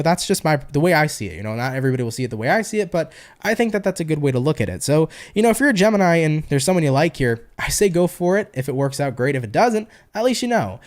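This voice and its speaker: A man from New York with a New York accent, giving a casual tarot reading in a chatty, slangy way and swearing casually. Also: mid-range voice. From New York, USA. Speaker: tarot reader, online host.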